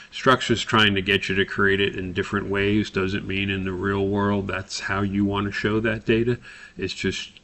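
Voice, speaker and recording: A man instructor talking through a software tutorial, explaining and thinking aloud as he goes. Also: deep voice, gravelly. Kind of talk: spoken screen-recorded software tutorial.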